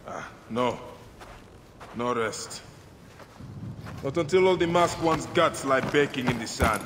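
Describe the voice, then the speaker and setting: A man's voice: mid-range voice, lively intonation, slow, noisy background. An actor playing a grim male warrior who speaks in short, determined lines. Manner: terse and determined.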